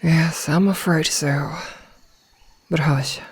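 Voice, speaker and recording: A woman playing a gentle, motherly werewolf in a soft ASMR roleplay, soothing and reassuring as she guides a newly turned packmate. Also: deep voice, steady tone, slow pace, breathy edge, exaggerated voice. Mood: calm, explaining.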